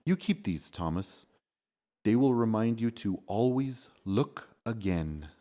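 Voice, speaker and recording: A man voicing a thoughtful, earnest, kindly boy in a storybook, gently explaining. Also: on the low side, lively intonation, takes it slowly. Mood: warm.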